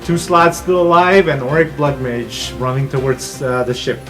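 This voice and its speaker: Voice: mid-range voice, normal speed. A game master narrating an improvised tabletop game in a casual, profane way. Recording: music bed behind the voice.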